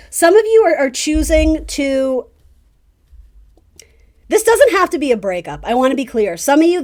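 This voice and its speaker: A woman's voice: on the high side, a little mellow. A woman hosting a casual tarot reading, talking directly to the listener with encouraging, conversational advice. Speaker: tarot reader and video host.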